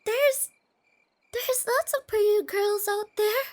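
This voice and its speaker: Shy young girlfriend, extremely high girlish voice, slow, bashful stammer, soft-spoken ASMR roleplay. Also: steady tone, dry recording. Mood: flustered, embarrassed. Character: shy, nerdy, easily flustered young girlfriend on a night date. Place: close dry recording with night insects behind.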